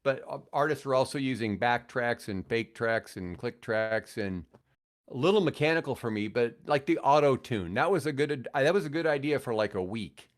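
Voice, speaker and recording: A man's voice: mid-range voice, lively intonation, moderate pace. A man in his sixties with an American accent, chatting and telling stories casually as a podcast guest, with an opinionated manner. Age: 60s. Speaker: podcast guest, radio host. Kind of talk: casual chat, rambling storytelling.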